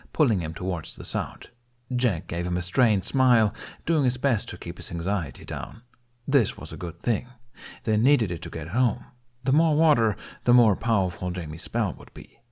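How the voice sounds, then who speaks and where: A man reading a fantasy story aloud as narrator, in an unhurried, measured way. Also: on the low side, very animated, darkish tone. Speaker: fan fiction audiobook reader.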